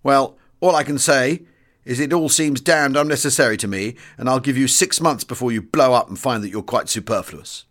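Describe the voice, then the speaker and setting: A man's voice: medium pitch, a bit bright, lightly acted. Man voicing a blustering, scornful senior English army officer. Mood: scornful, belligerent. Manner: blustering, contemptuous, drawn-out emphasis.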